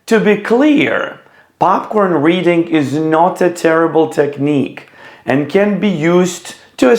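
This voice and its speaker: A man giving scripted educational narration as a teacher, in a measured, deliberate way. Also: fairly high pitch, reverberant. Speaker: teacher presenting an educational video.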